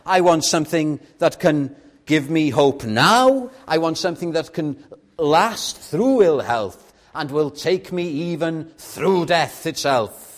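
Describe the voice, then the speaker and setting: A man with a Welsh accent, a native Welsh speaker, preaching a sermon in a measured way and putting rhetorical questions to his congregation. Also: fairly high pitch, animated. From Wales.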